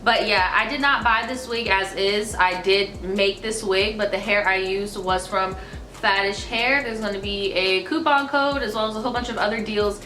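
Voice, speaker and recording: A young woman with a stuffed-up nose talks to her camera in a loose, slangy way, packed with fillers. Her voice is bright, and the small room around her rings with echo. Faint music plays underneath. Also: mid-range voice, normal speed. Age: 20s. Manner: chatty, slangy, lots of fillers. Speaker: YouTuber reading viewer stories. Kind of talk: casual advice chat and reading letters aloud. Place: small indoor room, seated before a dark backdrop.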